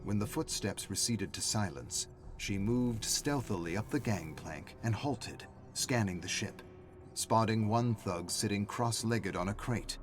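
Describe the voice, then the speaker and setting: A man's voice: on the low side, unhurried, over music. A man reading a story as a narrator, in a dramatic scripted style.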